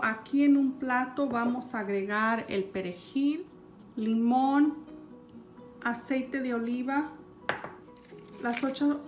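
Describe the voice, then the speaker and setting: A woman hosting a home cooking show, calmly walking viewers through each step. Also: high voice. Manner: calm step-by-step instruction, addressing viewers.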